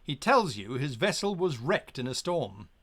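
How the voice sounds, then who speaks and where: A man narrating a gamebook as game master on a live stream, with lively asides and pirate growls. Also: mid-range voice, normal speed.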